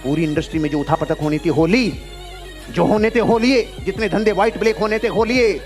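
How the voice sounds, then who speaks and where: A man's voice: sits high, fairly quick. A man delivering a motivational speech, emphatic and declamatory, repeating phrases for effect. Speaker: sales motivational speaker. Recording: music behind the voice. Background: music.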